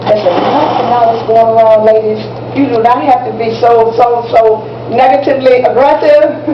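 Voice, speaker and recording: Woman acting an excitable schoolteacher, echoey and distant. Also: a little high, normal speed, lightly acted. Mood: admonishing. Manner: emphatic, repetitive, checks that listeners follow. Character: excitable woman teacher coaching students for a history contest. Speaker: teacher in an amateur stage play. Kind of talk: stage play dialogue, lecturing. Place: echoey room at a live public performance. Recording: echoey, distant, muffled room recording with noise.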